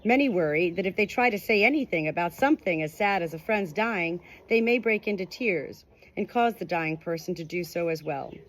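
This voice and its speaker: A woman's voice: fairly low pitch, lively intonation, fairly quick, low-quality recording. A woman reading a nonfiction book aloud as scripted audiobook narration. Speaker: audiobook reader.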